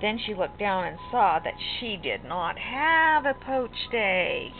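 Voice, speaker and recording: An older woman, a grandmother, reading a storybook aloud to children in an expressive, sing-song manner. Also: mid-range voice. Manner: slow, animated, sing-song. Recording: muffled lo-fi home recording, music behind.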